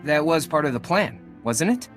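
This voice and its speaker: An actor playing a dutiful, guarded captured lieutenant in a video game, speaking in an earnest, hesitant military manner. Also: male voice, a little high, animated, moderate pace, a bit bright, soft background music. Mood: surprised.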